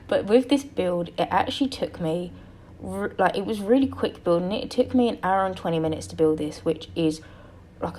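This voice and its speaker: A young woman, tired and a little croaky, rambling through a casual voice-over about a building game. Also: fairly low pitch, dark tone, a little hiss or hum. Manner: rambling, self-correcting, apologetic.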